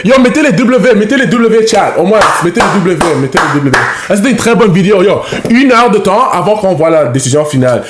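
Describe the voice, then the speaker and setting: A man from Canada whose first language is English, reacting to videos in casual French. He talks in a halting way, repeating words and dropping in English fillers. His voice sits high and bounces around an echoey room. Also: expressive, very noisy. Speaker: reaction streamer.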